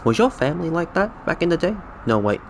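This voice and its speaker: A man's voice: on the high side, big pitch swings, quick pace, creaky, a little hiss or hum. A man whose first language is Cantonese, chatting casually with viewers on a game stream, rambling and correcting himself. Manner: rambling, self-correcting, chuckling. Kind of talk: casual live-stream chat.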